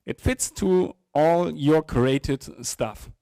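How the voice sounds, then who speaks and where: A middle-aged man giving an informal live technical presentation, often halting and repeating words. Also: fairly high pitch, gravelly, warm tone, clean tone, no echo. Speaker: software presenter. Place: indoor presentation room with small audience. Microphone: handheld microphone.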